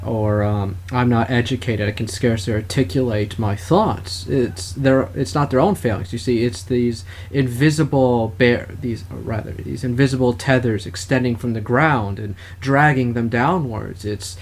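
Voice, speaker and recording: A man with a mid-pitched voice hosts an opinionated political talk podcast. His phrasing is formal and wordy, with a halting delivery. Clear ambient noise sits behind his voice. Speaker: podcast host. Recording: clearly noisy background.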